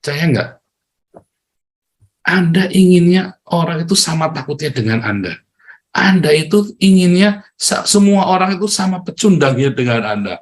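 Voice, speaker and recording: A middle-aged man from Tegal with a Tegal accent, giving a lively motivational talk online, speaking straight to his listeners and acting out the voices he quotes. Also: on the high side, moderate pace. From Tegal, Central Java, Indonesia. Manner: animated, addresses listeners directly, acts out quoted voices, chuckles often.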